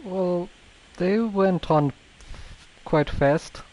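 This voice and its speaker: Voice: mid-range voice, extremely slow, a little mellow, poor audio. A game streamer giving casual livestream commentary, sometimes hesitantly searching for words.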